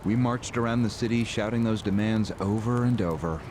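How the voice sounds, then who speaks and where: An actor playing a smug, calculating political schemer who explains things at length in a video game cutscene. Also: male voice, lowish voice, fairly quick, background noise, lightly acted. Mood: cynical, boastful. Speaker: character in a crime drama video game.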